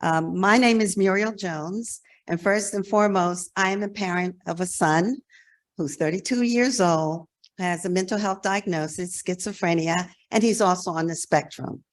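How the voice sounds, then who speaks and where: An American woman in her sixties with a slightly low voice speaks as a presenter to a group. She talks frankly and conversationally, stressing her key points with conviction. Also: moderate pace. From New Jersey, United States. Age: 60s. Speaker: presenter, nonprofit executive director. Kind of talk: conference presentation.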